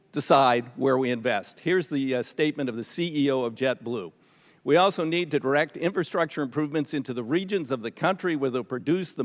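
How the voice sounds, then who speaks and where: Skeptical American man in a reverberant hearing room. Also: mid-range voice. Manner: halting, skeptical, pressing. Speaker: legislator questioning witnesses at a hearing. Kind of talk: off-the-cuff questioning and argument at a legislative hearing. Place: large indoor hearing room.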